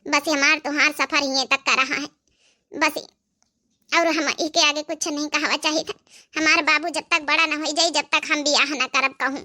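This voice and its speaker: A woman's voice: sits high, fairly even intonation, moderate pace, pushed and bright. A woman voicing a devoted, tender young sister in a homemade cartoon family drama, with an Awadhi accent. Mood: resigned, firm. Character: devoted young sister raising her nephew, tender and self-sacrificing. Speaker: storyteller of a cartoon channel.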